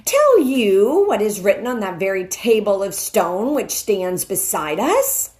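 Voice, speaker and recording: A woman voicing a cruel, imperious witch queen in a storybook, with a savage, shrill, commanding manner. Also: darkish tone.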